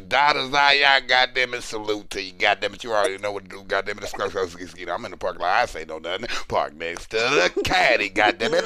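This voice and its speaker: Lively gravelly man joking loudly. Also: medium pitch. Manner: loud, joking, hyped, swears a lot. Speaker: show regular. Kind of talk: casual chat on a live audio show.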